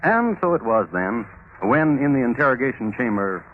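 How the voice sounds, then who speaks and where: An actor as a droll, mock-solemn narrator, varied pitch. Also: male voice, big pitch swings, a little hiss or hum, close to an everyday voice. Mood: dry, wry. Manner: dry, deliberate, mock-solemn. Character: droll, urbane male crime-history narrator. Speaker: host and narrator. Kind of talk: 1950s radio scripted narration. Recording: tinny 1950s radio recording with music.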